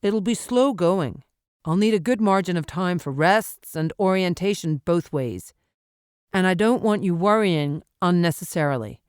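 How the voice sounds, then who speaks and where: A woman narrator voicing a resolute, calm mountain man in an audiobook, steady and reassuring. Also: fairly low pitch, measured pace, clean tone, no echo, studio quality. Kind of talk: audiobook character acting.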